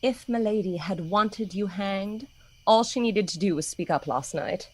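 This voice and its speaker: Unhurried, pert maidservant. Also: female voice, medium pitch, darkish tone, breathy edge, no room sound, poor audio. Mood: indignant. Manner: indignant, teasing. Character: pert, outspoken, loyal maidservant, scolding and mocking. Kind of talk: radio play acting.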